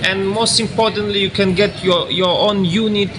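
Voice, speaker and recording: A man with a high voice asks interview questions, hesitating between phrases. Clear background noise sits under his voice. He sounds slightly away from the microphone. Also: steady tone, moderate pace. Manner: hesitant, with fillers.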